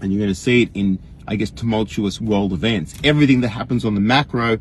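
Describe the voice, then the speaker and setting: An Australian man imitating an earnest, rambling online guru in a folksy, emphatic livestream monologue, with a husky voice. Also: on the low side, steady tone, fast, slight background noise, natural voice. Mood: earnest, preachy. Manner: folksy, emphatic, rhetorical questions, frequent chuckles.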